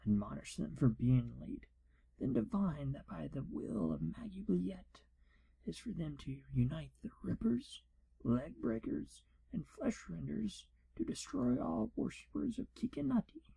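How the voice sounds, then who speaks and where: A man as a hushed, gravelly wizard proctor. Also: fairly high pitch, sing-song, heavily acted, low-quality recording. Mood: formal, theatrical. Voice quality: hushed, breathy, husky. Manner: formal, deliberate, theatrical. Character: pompous, fussy, exasperated wizard exam proctor, male. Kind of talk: whispered ASMR role-play.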